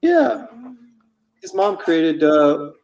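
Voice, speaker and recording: A man's voice: on the high side, very animated, very slow, creaky, darkish tone, recorded from a distance, rough recording. A man in his fifties, a comic artist chatting casually and rambling through anecdotes while he inks on a stream. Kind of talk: casual chat while drawing.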